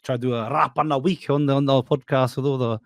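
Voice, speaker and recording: A man's voice: medium pitch, steady tone, quick pace, dry recording, studio quality. A male podcast host who chats casually, laughs often and teases his guest. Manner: chatty, laughs often, playful teasing.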